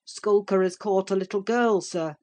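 A woman's voice: mid-range voice, normal speed, darkish tone, natural voice. A woman voicing a suspicious manservant reporting intruders, in an audiobook.

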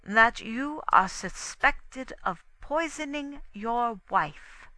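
A commanding male detective from a storybook, acted out by a woman, speaking in slow, deliberate pronouncements. The delivery is theatrical and pointed, with a sharp, forceful edge and a formal air of menace. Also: medium pitch, animated, no echo, pitched above the performer's own voice, exaggerated voice. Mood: pointed, grave. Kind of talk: dramatic audiobook character dialogue.

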